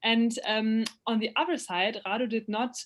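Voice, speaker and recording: A woman's voice: fairly high pitch, steady tone, moderate pace, a little hiss or hum. A young woman presenting an online event, friendly, with many fillers and restarts.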